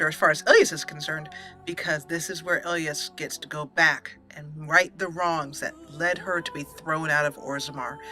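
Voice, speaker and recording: Bright-voiced woman hosting a game playthrough. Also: deep voice. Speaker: host of a gaming channel. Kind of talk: roleplay let's play commentary.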